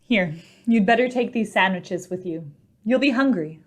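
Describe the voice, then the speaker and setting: Woman playing an earnest, worried wife, medium pitch. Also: expressive, normal speed, close to an everyday voice. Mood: resigned, worried. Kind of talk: old-time radio drama acting.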